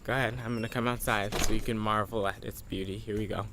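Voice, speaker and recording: A teenage boy talks to his handheld camera at home, partly outside on a deck. His voice sits low for his age, and he rambles, chatty and quick to laugh at himself. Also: fairly even intonation, background noise. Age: teens. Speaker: high school senior vlogger. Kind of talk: casual video diary.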